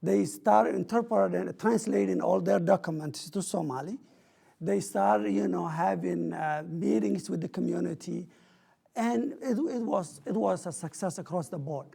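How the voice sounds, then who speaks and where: A man's voice: high-pitched, normal speed, vocal fry, dark tone, slightly rough. A man on a public panel, explaining his outreach work earnestly, with examples and questions. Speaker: panelist from a community outreach program.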